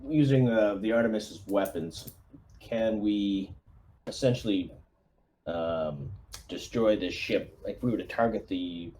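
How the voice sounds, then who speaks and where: A man speaking as a squad commander in a tabletop roleplaying game, briefing a plan in a halting, thinking-aloud way. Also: fairly low pitch, mellow, a little hiss or hum. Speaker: player acting as squad commander.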